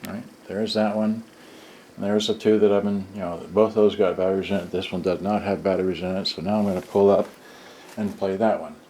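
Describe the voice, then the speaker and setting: A man's voice: deep voice, steady tone, fairly quick, slight background noise. A man giving an unscripted hands-on product demo as a repairer, rambling in fragments while he handles the gear. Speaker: cassette player repairer demonstrating serviced units. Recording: roomy, distant mic with loud music. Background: music from cassette players.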